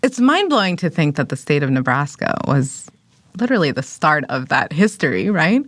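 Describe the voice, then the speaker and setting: A woman who works as a legal director speaks earnestly in an interview. Her voice is very low for a woman. The sound is dry and close. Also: sing-song, moderate pace, a bit bright, no room sound.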